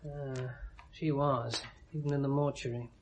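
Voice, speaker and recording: A man with a British accent acting an unruffled police colleague in a 1970s radio drama, explaining things in a wry, measured way. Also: mid-range voice, level tone, slight background noise, natural voice. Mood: matter-of-fact. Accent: British English.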